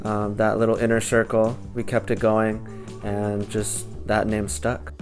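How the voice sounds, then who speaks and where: A man in his twenties speaking calmly and in measured terms in a documentary interview. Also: fairly low pitch. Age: 20s. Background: music.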